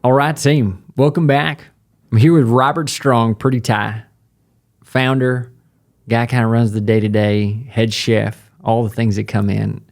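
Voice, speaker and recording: A man's voice: medium pitch, little pitch movement, a little slow, darkish tone. A man hosting a podcast interview in a laid-back, jokey way. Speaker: podcast host. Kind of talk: casual interview chat.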